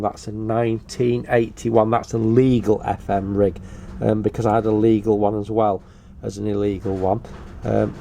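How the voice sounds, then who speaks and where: A man's voice: fairly low pitch, vocal fry, warm tone, slight background noise. An older British man giving a casual show-and-tell commentary in a hesitant, matter-of-fact way. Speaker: hobbyist video presenter.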